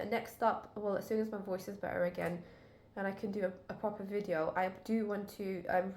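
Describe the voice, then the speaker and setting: A woman reviewing a fragrance in a casual, chatty way, her voice still lower and duller than usual as it recovers. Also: vocal fry, slight background noise. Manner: chatty, talks straight to viewers. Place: at her desk indoors. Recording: echoey room.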